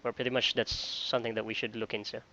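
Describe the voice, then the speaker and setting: A man talking casually in a job interview call as a virtual assistant, halting and repeating phrases with frequent filler phrases. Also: medium pitch, little pitch movement, sharp tone, dull audio. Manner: halting, repeats phrases, often says "you know". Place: online call.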